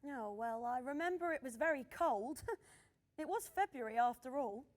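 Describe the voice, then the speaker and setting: A woman's voice: high-pitched, animated, moderate pace. A woman playing a modest, amused singer looking back on her early days in a radio drama. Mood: amused, reminiscing. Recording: long hall reverb.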